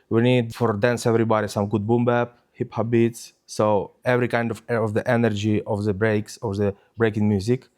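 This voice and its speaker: A man's voice: mid-range voice, darkish tone. A man from Ukraine speaking English, answering interview questions with many hesitations and fillers. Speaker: DJ guest.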